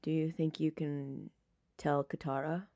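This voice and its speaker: A woman's voice: low voice, little pitch movement, a little slow, mellow, no echo, muffled recording, voice pushed down. A woman reading the part of a worried, protective young man in a story, casual and plain-spoken. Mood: gentle, worried.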